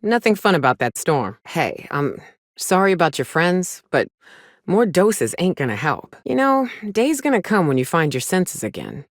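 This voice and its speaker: Female space gunslinger, lowish voice, animated intonation, sardonic, folksy drawl, salty, video game companion lines. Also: moderate pace, no echo, studio quality. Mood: somber, subdued. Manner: wry, drawling, folksy swearing.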